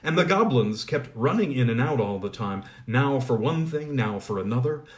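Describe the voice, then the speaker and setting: A man narrating a fairy tale aloud in a measured, expressive reading. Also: mid-range voice. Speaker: audiobook reader. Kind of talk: storybook narration.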